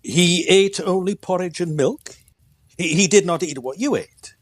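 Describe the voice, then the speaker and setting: A man's voice: sits high, measured pace. A man playing a sceptical, inquisitive doctor in a period audio drama, probing and formal. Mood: suspicious. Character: sceptical, inquisitive male doctor questioning a patient.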